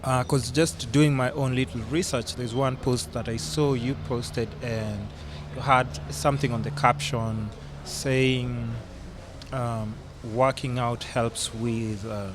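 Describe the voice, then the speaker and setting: A man's voice: mid-range voice, steady tone, takes it slowly, noisy background. A man hosting a podcast in a casual, conversational style. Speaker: podcast host. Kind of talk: casual chat.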